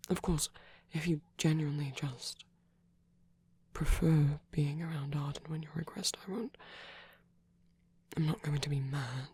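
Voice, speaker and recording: A performer voicing a gentle, slightly grumpy, possessive supernatural lover in an ASMR romance roleplay, speaking softly and tenderly with hesitant pauses and frequent whispering. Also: medium pitch, fairly even intonation. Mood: reassuring, tender. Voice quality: soft, breathy, often whispered. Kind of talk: ASMR romance roleplay, intimate one-sided dialogue.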